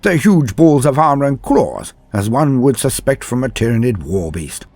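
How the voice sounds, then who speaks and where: A man's voice: mid-range voice, sing-song, normal speed, a little mellow, dry recording. A man narrating scripted lore as a host, in a theatrical, ornate and formal manner. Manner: dramatic, ornate, formal address. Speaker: host and narrator of a guide channel.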